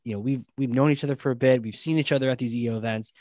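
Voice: mid-range voice, steady tone, very fast, mellow, dry recording. A host asking a guest eager questions in a casual interview. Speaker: podcast host.

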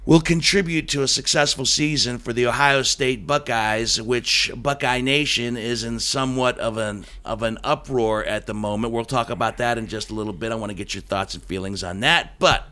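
A man's voice: medium pitch, normal speed, hardly any pauses, bright, forceful tone. A man with a head cold hosting a casual podcast, talking in a wry, emphatic, conversational way. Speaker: podcast host. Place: recorded in Columbus, Ohio.

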